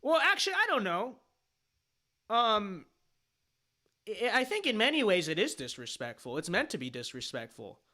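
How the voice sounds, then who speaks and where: A man's voice: sits high, very animated, normal speed, long pauses, sharp tone. A man streaming a reaction to his own panel debate, rambling and opinionated, with lots of fillers and restarts. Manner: emphatic and dismissive. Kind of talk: livestream reaction commentary.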